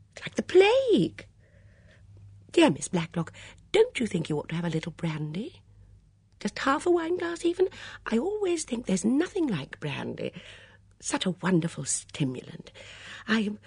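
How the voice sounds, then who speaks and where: A woman's voice: mid-range voice, a little mellow, breathy edge, no echo, voice pushed up, exaggerated voice. A woman voicing an anxiously sociable, fluttery English woman with gushing, disjointed chatter in an audiobook story.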